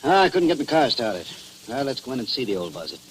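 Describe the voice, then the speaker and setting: An actor playing a weary, sardonic small-town American police chief, dry, terse and commanding, in 1940s radio drama style. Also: male voice, medium pitch, sing-song, fast talker, natural voice. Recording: noisy, humming 1940s radio broadcast.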